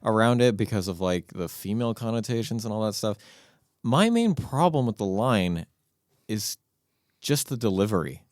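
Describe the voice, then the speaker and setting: A man co-hosting a movie podcast, giving measured, critical opinions. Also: fairly low pitch, lively intonation, a little mellow, no room sound, studio quality. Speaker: podcast co-host. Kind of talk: casual movie discussion.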